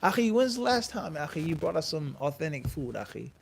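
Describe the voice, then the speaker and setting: A man's voice: fairly high pitch, fairly quick, creaky, a little hiss or hum. A man teaching an Islamic class in a conversational, prompting way, asking his listeners questions and mixing Arabic terms into his English. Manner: animated question-and-answer, prompting listeners to finish his sentences. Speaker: Islamic teacher leading a live-streamed class. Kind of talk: religious lecture to a class, conversational. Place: mosque class.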